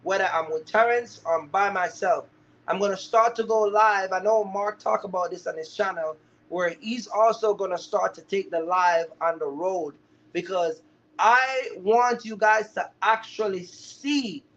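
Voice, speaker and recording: A man's voice: high-pitched, a little slow, fairly bright tone, a little hiss or hum. A man hosting a casual livestream, teaching viewers in an emphatic way and often checking that they follow. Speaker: livestream host, trucking business mentor. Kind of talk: casual live chat to viewers. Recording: dull, lo-fi live stream audio.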